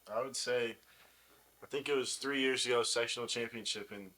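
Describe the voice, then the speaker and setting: A man's voice: mid-range voice, steady tone, normal speed, sharp tone. A young man from the United States, a baseball player answering questions in a casual interview. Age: young adult.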